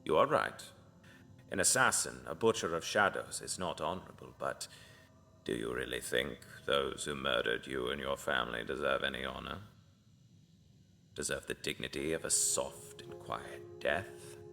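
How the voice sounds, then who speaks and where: Here is a solemn fantasy seer acted by a man, grave and devout, guiding a future assassin. His voice sits extremely low with a gravelly creak, often hushed, over faint-to-clear music. The delivery is cryptic and ominous, as in a dark audio drama. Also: moderate pace, exaggerated voice. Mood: stern.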